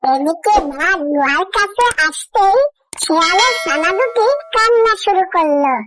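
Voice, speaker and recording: A woman's voice: extremely high pitch, lively intonation, a little slow, over music. A woman reading a scripted fairy tale for children as the storyteller.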